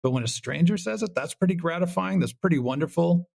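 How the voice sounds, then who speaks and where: A man's voice: on the high side, animated, fast, vocal fry, a little mellow. A man speaking as an interview guest, casual and reflective, thinking aloud and voicing his own imagined questions. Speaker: guest, audio drama creator.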